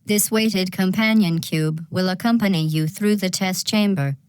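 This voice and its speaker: A woman's voice: on the low side, moderate pace, smooth, dry recording, close to an everyday voice. A woman voicing a coolly sinister female artificial intelligence in a video game, making clinical, detached announcements with false courtesy.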